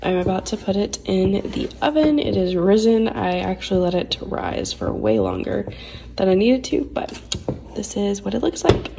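A young woman with a raspy voice, casually talking through a bread recipe in her home kitchen, chatty and loose with fillers. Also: medium pitch, very animated, moderate pace, mellow, a little hiss or hum. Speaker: lifestyle vlogger. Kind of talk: casual baking walkthrough.

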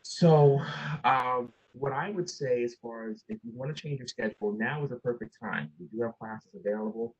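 A man's voice: mid-range voice, normal speed, slightly rough. A dean in his forties answering student questions at a virtual town hall, advising with many fillers and restarts. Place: home office. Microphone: video call with earbuds. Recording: dull, muffled video call.

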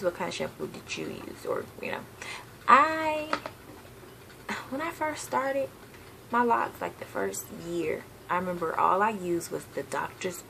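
A young woman from the South, chatting casually on a home vlog, rambling with lots of ums and self-corrections. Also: lowish voice. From Southern United States. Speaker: hair vlogger. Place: bedroom, seated on a patterned couch, indoors. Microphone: webcam at desk distance. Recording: gravelly voice with clear background hiss.

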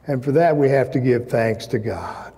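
A man in his sixties preaching a sermon as a pastor, with measured, emphatic phrasing, pauses and repetition. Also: medium pitch, warm tone. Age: 60s. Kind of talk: sermon with personal anecdotes. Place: large church sanctuary, indoors at a pulpit. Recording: reverberant church hall.

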